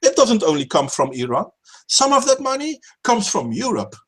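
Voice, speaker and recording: A man's voice: high-pitched, sing-song, moderate pace, fairly bright tone, husky. A man hosting a casual stream chat, talking politics emphatically, swearing and repeating words as he starts sentences. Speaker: stream host.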